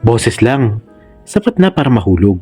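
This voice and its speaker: A man's voice: mid-range voice, sing-song, moderate pace, mellow, breathy edge. A Filipino man voicing a shy, lovestruck young male student in a storybook romance, wistful and earnest. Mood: dreamy. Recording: music under the voice.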